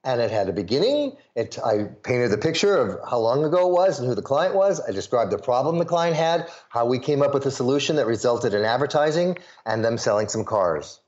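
A man's voice: mid-range voice, fairly quick, hardly any pauses, highs cut off. A man from the US Midwest telling stories as an interview guest, acting out the voices of the people he quotes. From suburbs of Chicago, US Midwest. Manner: animated storyteller, acts out quoted voices. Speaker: guest, sales pitch coach.

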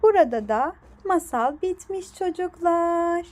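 A woman storyteller with a strikingly high voice reads a children's bedtime tale. Her delivery is very slow and deliberate, addressed to young listeners. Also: animated, a little mellow. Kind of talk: scripted bedtime story narration.

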